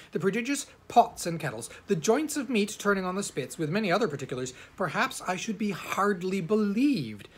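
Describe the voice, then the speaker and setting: A man narrates a classic novel in a husky, slightly rough voice. His delivery is measured and formal, suited to old-fashioned literary prose, in the manner of an amateur audiobook reader. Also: fairly high pitch.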